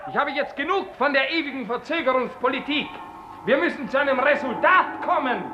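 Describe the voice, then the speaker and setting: An actor playing a tyrannical, capricious director-general in a pompous, theatrical 1950s German radio drama manner. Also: male voice, very high voice, brisk, over music. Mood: imperious. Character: tyrannical, capricious, self-important male director-general ruler. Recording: noisy, muffled vintage radio recording.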